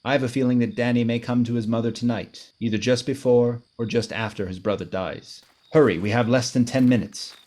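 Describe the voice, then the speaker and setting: A man playing a grave, brooding and commanding crime fighter with a secret identity, earnest and measured in 1930s radio drama style. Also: medium pitch, fairly even intonation, darkish tone, close to an everyday voice. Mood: urgent, commanding.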